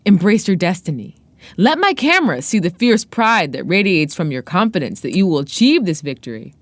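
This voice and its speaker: A woman's voice: lowish voice, sing-song, fairly quick, no echo. Storybook role, acted by a female narrator: commanding, glamorous woman propagandist, grandiose and fervent, dry close sound. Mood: fervent, rousing. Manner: grandiose, exhorting. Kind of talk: dramatic audiobook-style reading.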